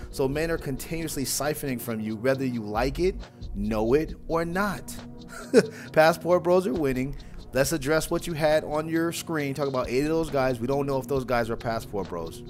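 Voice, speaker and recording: A young man talks into a wired earphone mic in a small room at home, casual and mocking, tossing in "bro" again and again. His voice sits in a middle pitch. Faint music plays under him. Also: moderate pace. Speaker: reaction channel host. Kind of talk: casual reaction commentary.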